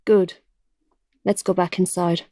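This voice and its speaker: A woman's voice: on the low side, flat delivery, takes it slowly, warm tone, a little hiss or hum. Woman playing firm, guarded warrior noblewoman. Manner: firm, terse, serious. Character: resolute, guarded young noblewoman warrior protecting her companions. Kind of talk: audio drama acting.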